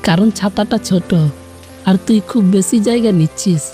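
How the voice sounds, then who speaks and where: A woman acts out a mellow-voiced village boy, mischievous and cocky with a caring streak. He taunts playfully in romantic audio drama style, with music underneath. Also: mid-range voice, moderate pace, close to an everyday voice. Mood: teasing, caring. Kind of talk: romantic audio story acting.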